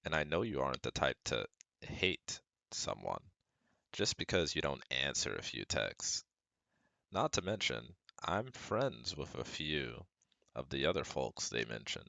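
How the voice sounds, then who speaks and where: A man's voice: rumbling low, big pitch swings, measured pace, gravelly, sharp tone, dry recording, heavily acted. A young man playing a caring, protective best friend and psychology student in a scripted ASMR roleplay, speaking earnestly and reassuringly to the listener. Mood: reassuring, analytical. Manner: reassuring, earnest, talks directly to a close friend.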